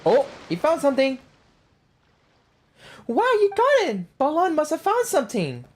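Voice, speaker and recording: A woman voicing a small, excitable, childlike fairy girl companion from a video game, eager and exclamatory. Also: high-pitched, measured pace, slight background noise, voice pushed up, full character voice. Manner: speaks of herself in third person, exclamatory.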